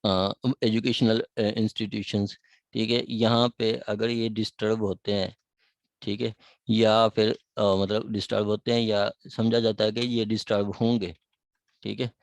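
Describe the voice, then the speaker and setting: A man with a Pakistani accent explaining a law text, reading the English wording slowly and then explaining it in his own words with frequent check-ins. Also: on the low side, dry recording. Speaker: law lecturer.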